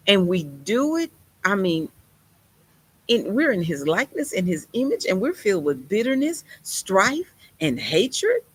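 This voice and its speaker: A woman's voice: mid-range voice, a little hiss or hum, low-quality recording. A woman preaching a sermon in an emphatic, conversational way, with folksy turns of phrase.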